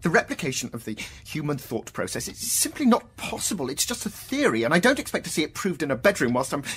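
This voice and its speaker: An actor playing an anguished, disbelieving mathematician in a radio drama, bitter and agitated, moving between outbursts and faltering murmurs. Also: male voice, on the high side, sing-song, fast, a bit bright, slightly rough, heavily acted. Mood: frantic denial.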